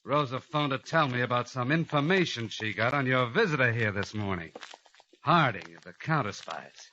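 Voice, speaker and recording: An actor playing a rough, desperate smuggler with a tough, blunt manner in an old radio drama. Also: male voice, mid-range voice, animated, moderate pace, bright, forceful tone. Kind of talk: 1950s radio drama acting. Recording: hissy lo-fi old radio recording.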